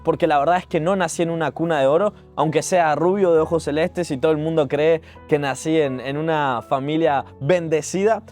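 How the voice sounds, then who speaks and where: A man's voice: on the high side, darkish tone. A man in his twenties with a Uruguayan accent, telling a personal story in an earnest, conversational, reflective way. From Montevideo, Uruguay. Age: 20s. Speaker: digital entrepreneur telling their life story. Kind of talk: personal storytelling vlog, spoken to camera. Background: music.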